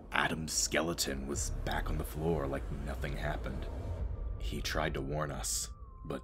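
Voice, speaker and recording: A man playing a haunted janitor from a space base, recounting horrors in a brooding, theatrical way with deliberate pauses. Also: low-pitched, close to an everyday voice. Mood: haunted, dread. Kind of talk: dramatic scripted audio-drama narration.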